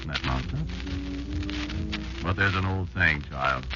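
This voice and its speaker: An actor playing an old insect elder who warns his child, telling it deliberately and ominously with dramatic pauses in 1940s radio drama style. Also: very deep voice. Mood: wry, instructive. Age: older. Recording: muffled old 1940s radio recording, loud music.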